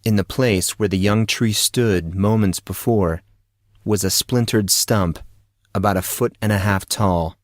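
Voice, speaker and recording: A man narrates fantasy fiction with a deliberate, suspenseful delivery. His voice sits fairly low. The recording is close and very dry, like a dramatic audiobook. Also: dry recording.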